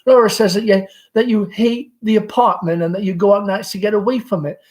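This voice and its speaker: An anxious, fussing mother of middle age , as a reader acts her out from a play. The voice is pushed high and flutters with stammers. The manner is dramatic and pleading, always worrying over a grown child. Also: steady tone, a little fast, darkish tone, lightly acted. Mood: worried, pleading.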